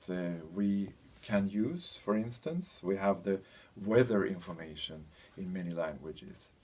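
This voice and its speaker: A man giving an explanatory training presentation, speaking in a hesitant, halting way as he searches for words. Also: sits low, a little mellow, breathy edge. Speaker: presenter of a language training package. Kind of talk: explanatory presentation, loosely scripted.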